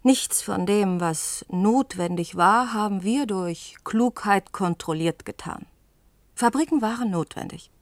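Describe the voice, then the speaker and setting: A woman's voice: mid-range voice, measured pace, no room sound, natural voice. An actress playing a passionate, outspoken woman journalist in a German science-fiction radio play, speaking earnestly and insistently. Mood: urgent, accusing.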